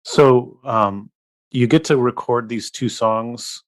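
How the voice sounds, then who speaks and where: A man's voice: mid-range voice, takes it slowly, no room sound. A man co-hosting a podcast, asking curious questions and laughing along with his guest. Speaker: podcast co-host. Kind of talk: interview questions.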